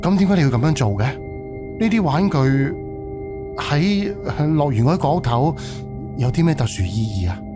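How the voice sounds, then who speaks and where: A man's voice: a little high, lively intonation, moderate pace, close to an everyday voice. A man acting a young, polite and inquisitive haunted-house owner in a Cantonese audio drama, asking hesitant questions. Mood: curious. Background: background music.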